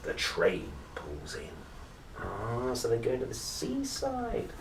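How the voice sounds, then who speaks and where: A man reads a picture book to children with playful, swooping expression and an unhurried pace. His delivery is animated, slipping into chatty asides to the listener. The room adds a clear echo around his voice. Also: medium pitch. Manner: playful, expressive, dramatic pauses and exclamations. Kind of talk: animated storybook read-aloud with chatty asides. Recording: roomy echo, faint background noise.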